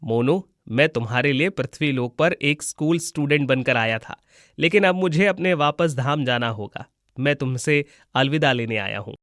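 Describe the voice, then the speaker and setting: Man as a gentle young god in cartoon. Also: mid-range voice, normal speed, no echo, studio quality, voice pushed down, close to an everyday voice. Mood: gentle, solemn. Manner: calm, kindly, reassuring. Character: gentle, wise young god disguised as a schoolboy. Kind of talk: Hindi moral-story cartoon voice acting.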